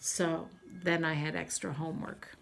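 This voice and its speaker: A woman reading a children's storybook aloud like a teacher, in an expressive, deliberate storytelling manner. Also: low-pitched, vocal fry, background noise.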